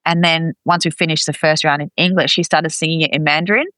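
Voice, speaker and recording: A woman chatting casually as an interview guest, expressive and quick to act out dialogue as she tells stories. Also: low-pitched, clean tone, dry recording. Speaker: podcast guest, expat parent.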